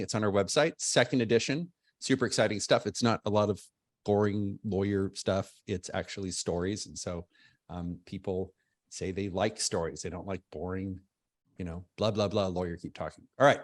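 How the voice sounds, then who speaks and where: A lawyer hosting a webinar, introducing guests in a chatty, self-deprecating way over a video call. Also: male voice, on the low side, fairly even intonation, fairly quick, no room sound, studio quality.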